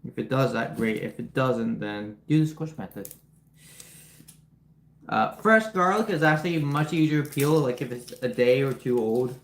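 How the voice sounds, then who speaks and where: A man's voice: medium pitch, expressive, moderate pace. A man from Ukraine live-streaming from his home kitchen on a phone, chatting casually with viewers and explaining each cooking step as he goes. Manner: chatty, greets viewers and explains steps while chopping. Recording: roomy kitchen with background noise.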